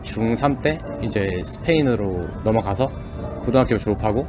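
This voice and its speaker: A man's voice: fairly low pitch, expressive, rapid-fire, over music. A young man answering street interview questions in long running sentences.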